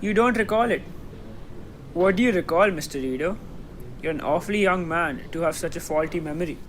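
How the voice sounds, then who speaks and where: Young man as a high-voiced, skeptical courtroom lawyer. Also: a little fast, noisy background, lightly acted. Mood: incredulous, pressing. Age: teenager. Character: forceful, skeptical male cross-examining lawyer. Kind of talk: stage-style radio drama acting.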